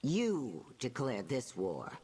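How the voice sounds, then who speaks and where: An actress playing a fanatical, menacing archdruid in a video game, imperious and declamatory. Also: female voice, rumbling low, wildly swooping pitch, slow, warm tone, husky, full character voice. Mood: accusing. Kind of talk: video game dialogue acting.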